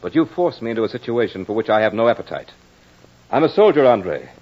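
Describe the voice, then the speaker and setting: An actor playing a proud, battle-hardened soldier in old radio horror drama, with formal, theatrical diction. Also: male voice, mid-range voice, very animated, fast. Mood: stern, urgent. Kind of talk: 1940s-50s radio horror drama acting. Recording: muffled old-time radio recording with music.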